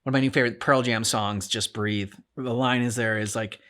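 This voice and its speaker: A man in casual, reflective conversation as a co-host, halting and repeating words as he searches for his thoughts. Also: medium pitch, fairly even intonation, a bit bright. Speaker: co-host of a reaction channel.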